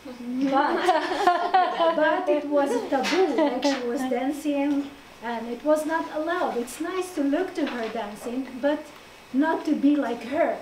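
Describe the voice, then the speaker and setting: Brief: high-voiced woman from Morocco, hesitant and laughing speaker, picked up from a distance. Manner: halting, many fillers, laughs often. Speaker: guest presenter. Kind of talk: informal talk to an audience. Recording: echoey room, distant mic, faint noise.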